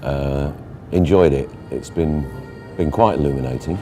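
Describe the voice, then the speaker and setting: A man giving an understated, halting informal interview as an exhibitor. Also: extremely low pitch, dark tone. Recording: music behind the voice.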